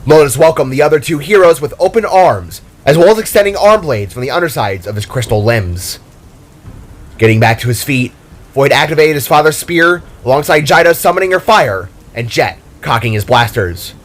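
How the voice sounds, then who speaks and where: A man's voice: on the high side, lively intonation, normal speed. A man reading scripted narration for an action story in a dramatic storyteller's style. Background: music.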